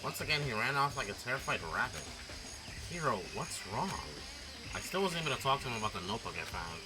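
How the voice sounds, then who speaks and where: A man's voice: medium pitch, sing-song, fast talker, loud music behind the voice, close to an everyday voice, low-quality recording. A man voicing an earnest, anxious teenage boy who narrates and wonders aloud in a visual novel. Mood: anxious, questioning. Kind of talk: fan-voiced visual novel reading.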